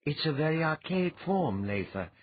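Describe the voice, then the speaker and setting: A husky-voiced audiobook reader voicing a patient woman who instructs calmly with a wry edge. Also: mid-range voice, normal speed, no echo, phone-quality sound, voice pushed up. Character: patient, teasing woman teacher.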